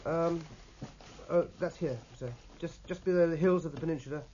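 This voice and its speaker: An actor playing a keen, respectful young British naval lieutenant in a 1950s radio drama, speaking in a measured, persuasive way. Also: male voice, on the high side, dark tone, a little hiss or hum, close to an everyday voice. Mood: quietly persuasive. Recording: muffled vintage radio recording.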